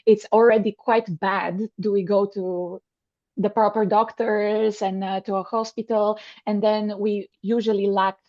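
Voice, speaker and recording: A woman's voice: medium pitch, little pitch movement, a little slow, highs cut off. A woman from Bulgaria hosting a conversational interview, speaking earnestly with frequent fillers and restarts. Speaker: podcast host.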